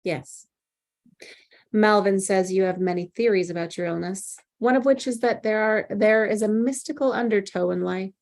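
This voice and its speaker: A woman playing a composed, probing psychiatrist in a screenplay table read, speaking in a deliberate, clinical way. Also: mid-range voice, a little mellow, natural voice. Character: composed, probing female psychiatrist, coolly authoritative.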